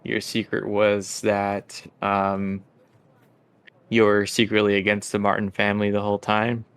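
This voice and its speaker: A man with a low voice and noticeable vocal fry hosts a tabletop game as game master. He talks in a casual, conversational way with the group. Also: monotone, a little slow.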